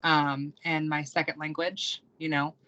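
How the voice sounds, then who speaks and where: A young woman from the United States, talking in a low but bright, forceful voice. She rambles in a loose, casual way, with lots of ums and likes as she tells her stories. The sound is a little dull and lo-fi, like a call recording. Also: flat delivery, unhurried. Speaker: guest, practicing witch and YouTuber. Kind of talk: casual conversational interview.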